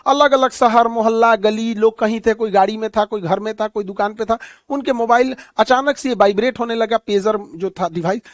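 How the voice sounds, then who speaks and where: A man's voice: high-pitched, normal speed, few pauses, dry recording. A man with a Bihari accent giving an explanatory current affairs lecture like a teacher, emphatic, repeating key phrases and posing questions he answers himself.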